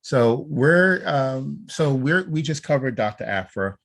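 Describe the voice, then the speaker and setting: A man's voice: medium pitch, animated, measured pace, vocal fry, fairly bright tone. A middle-aged man with an American accent, talking conversationally as a podcast host.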